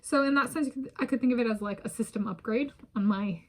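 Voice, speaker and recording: A woman's voice: on the high side, a little fast, a little mellow. A woman from the United States talking casually to her own camera, rambling with many restarts and small laughs. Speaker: vlogger. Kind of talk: casual solo talk to camera. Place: home in a residential area. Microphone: self-filmed camera.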